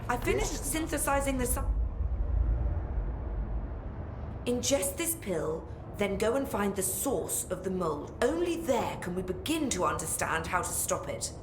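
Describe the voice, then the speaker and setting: A woman's voice: mid-range voice, very animated, moderate pace, few pauses, close to an everyday voice. A woman voicing a haughty, brusque academic scientist in a video game, precise and dryly exasperated. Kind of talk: video game dialogue acting. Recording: roomy echo with background noise.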